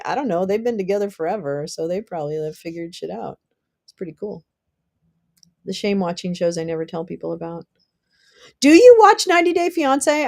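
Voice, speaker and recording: A young woman hosting a casual livestream, chatting with viewers, swearing and laughing often, her voice wearing hoarse. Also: fairly low pitch, a little mellow. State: losing her voice. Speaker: livestream host. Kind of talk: casual livestream chat reading viewer comments.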